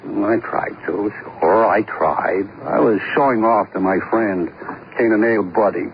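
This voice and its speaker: A man's voice: fairly low pitch, measured pace, vocal fry. An actor playing a defensive, boastful married man with an American accent and slangy, protesting speech in a 1950s radio crime drama. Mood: indignant, pleading. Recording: muffled, hissy old radio broadcast.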